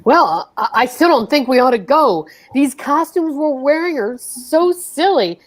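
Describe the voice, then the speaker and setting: Woman doing a worried henpecked husband, old radio comedy, highish swoopy voice, grumbly and hesitant. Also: expressive, measured pace. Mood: grumbling reluctant. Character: George, reluctant, worrying, henpecked husband.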